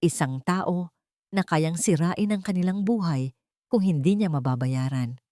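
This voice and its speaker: A woman's voice: fairly low pitch, unhurried, dark tone, no echo, clean studio sound. A woman storyteller reading a scripted dramatic audio story as narrator.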